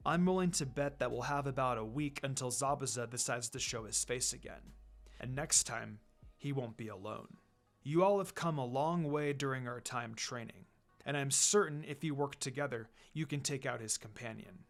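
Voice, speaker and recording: A man voicing a calm, weary, commanding ninja teacher in a measured, matter-of-fact way, for a story reading. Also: medium pitch, soft background music, pitched below the performer's own voice, natural voice. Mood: steady, serious.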